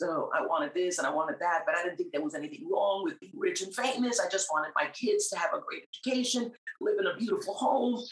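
A middle-aged woman from New York with Puerto Rican roots, a singer giving her faith testimony as a guest, earnest and growing emphatic. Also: mid-range voice. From Puerto Rican, raised in New York. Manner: earnest, grows emphatic and animated. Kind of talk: faith testimony in a podcast interview. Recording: roomy, echoey sound.

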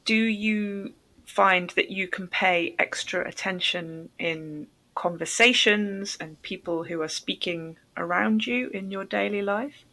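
A woman's voice: mid-range voice, takes it slowly, sharp tone. A woman hosting a podcast for English learners, asking questions and rephrasing answers in simple, encouraging language. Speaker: podcast host, English teacher. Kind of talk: interviewing, explaining and rephrasing.

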